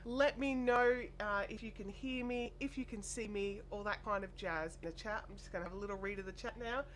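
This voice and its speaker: An Australian woman hosting a casual craft live stream, chatty and apologetic, her voice made deeper and nasal by a chest cold and sore throat. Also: brisk. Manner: chatty, apologetic, reads viewer comments aloud. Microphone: desk microphone. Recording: faint air conditioner hum.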